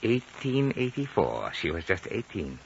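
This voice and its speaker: A man's voice: low voice, big pitch swings, measured pace, gravelly, exaggerated voice. A man playing an urbane, courteous Chinese elder of ninety-seven in a 1950s radio drama, suave and precise. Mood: calm, wry. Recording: muffled, hissy old radio recording.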